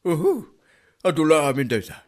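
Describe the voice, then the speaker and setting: A man's voice: on the high side, sing-song, moderate pace. A man with an Ilocano accent playing a teasing, offhand man of the house in a comedy drama.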